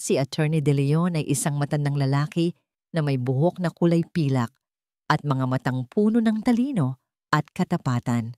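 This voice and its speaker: A woman narrating a scripted drama story in an even, deliberate storytelling manner. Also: deep voice, no room sound, studio quality.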